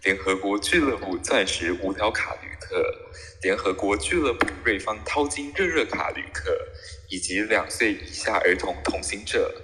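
A man's voice: on the low side, animated, normal speed, pushed and bright, long reverb tail, faint music behind. A man playing a formal airport boarding announcer in an audio comedy. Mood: formal, measured.

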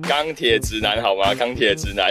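A man's voice: lowish voice, moderate pace. A man chatting casually as a guest in group banter, joking and rambling as he goes. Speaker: voice actor, guest on a free talk. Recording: music behind the voice. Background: music.

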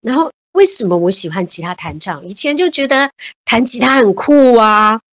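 A woman's voice: on the high side, lively intonation, normal speed, fairly bright tone, dry recording, clean studio sound. A woman in her fifties chatting cheerfully as an interview guest, laughing often and repeating words. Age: 50s. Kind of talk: casual interview chat.

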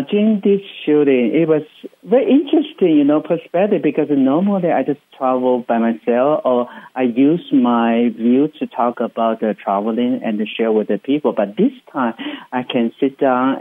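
Radio guest: Taiwanese male, native Chinese speaker, halting but keen English, mid pitch, dry narrow-band sound. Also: no echo. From Hsinchu, Taiwan. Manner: enthusiastic, explanatory, halting second-language English. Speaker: interview guest, travel writer and radio host. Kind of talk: chatty radio interview. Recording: muffled, phone-like radio sound.

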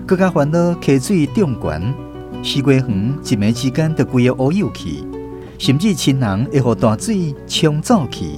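A man with a Taiwanese accent narrates a children's story from a script. His mid-pitched voice is animated and expressive, with plucked guitar music behind him. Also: moderate pace.

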